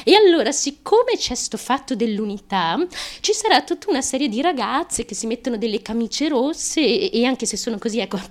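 A woman with a fairly high voice delivers a first-person stage monologue for radio. Her storytelling runs on breathlessly, clause after clause. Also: big pitch swings, brisk. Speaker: actress.

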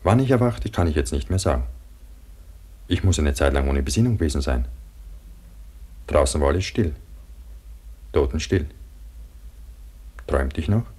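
An actor playing a frightened man buried alive under avalanche snow, halting and brooding, in a German radio play. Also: a bass voice, darkish tone, husky, full character voice. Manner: halting, brooding inner narration breaking into desperate calls. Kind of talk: German radio play acting, monologue narration and dialogue.

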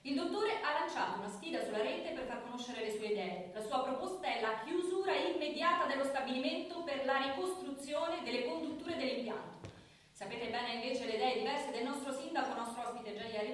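Brisk high-voiced newswoman played by an actress, echoey. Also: animated, lightly acted. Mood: formal, presenting. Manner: businesslike, polite but firm. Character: brisk, controlling female television news journalist. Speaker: actor in a radio play. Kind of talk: naturalistic radio drama, TV news presenting. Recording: echoey room, distant mic, faint noise.

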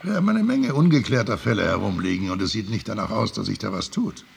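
An actor playing a dry, shrewd, casually corrupt official in a radio drama, with a gravelly, creaky voice and matter-of-fact, wry manner. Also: male voice, fairly low pitch, sing-song, fast talker, a little hiss or hum, heavily acted. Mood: businesslike, sly. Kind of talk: radio drama dialogue in German.

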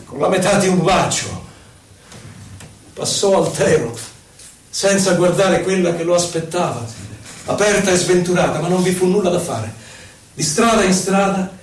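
Guy doing dramatic poetry recital, solemn and declamatory, off mic w some noise behind. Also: high-pitched. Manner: theatrical, solemn, declamatory, with pauses between short phrases. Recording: echoey, distant, noisy live radio broadcast.

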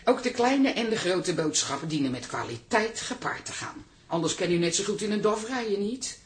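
An actress playing a pushy, chatty toilet attendant in a Dutch radio comedy, insistent and mock-polite. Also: female voice, medium pitch, lively intonation, moderate pace, natural voice. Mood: nagging. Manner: insinuating, mock-polite, nagging. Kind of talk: radio comedy drama acting.

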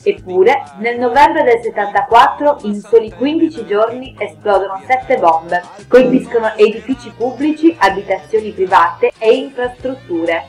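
A woman presents a scripted history programme on the radio. Her voice sits slightly high. Music plays softly behind her as she narrates. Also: normal speed, few pauses, low-quality recording. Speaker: radio show host.

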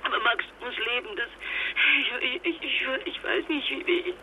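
Traumatized young mother, high voice, stammering, distraught, radio drama, faint background noise. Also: expressive, normal speed, narrow, tinny audio. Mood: anguished, breaking down. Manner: stammering, broken, repetitive phrases. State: distraught, shaken. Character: traumatized young mother who survived a capsized boat. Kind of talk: German radio drama acting.

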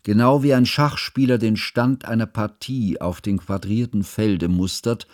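A man acting a learned chronicler in a radio drama. His voice is fairly low and recorded very dry. He narrates in measured, formal, literary sentences. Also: darkish tone, no room sound, natural voice. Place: dry studio.

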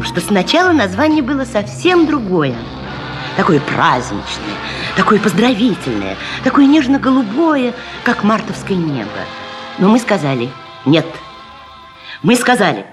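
A woman presenter with a husky voice, delivering a humorous, theatrical radio monologue with ironic, rhetorical emphasis. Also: on the high side. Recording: 1970s radio recording, faint music.

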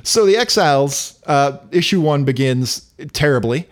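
A man's voice: fairly high pitch, expressive, unhurried. A man casually recapping comic books on a podcast, laid-back with dry jokes. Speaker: podcast co-host.

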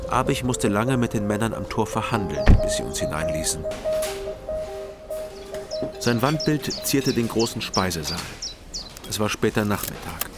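Crime radio play lead: guarded male private investigator voiced by an actor, deepish, understated, loud music behind. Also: expressive, moderate pace, long pauses, close to an everyday voice. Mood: detached, reflective. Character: dry, guarded East German male private investigator narrating. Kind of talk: radio drama first-person narration and dialogue.

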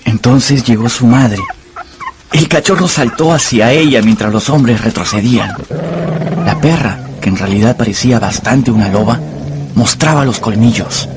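A man's voice: medium pitch, normal speed, noisy background. A man narrating a dramatised story for radio from a script. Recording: music under the voice.

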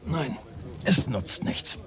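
An actor playing a weary, dry-witted radio editor with a laconic manner in a radio play. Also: male voice, mid-range voice, big pitch swings, takes it slowly. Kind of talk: radio drama acting. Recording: busy canteen chatter behind.

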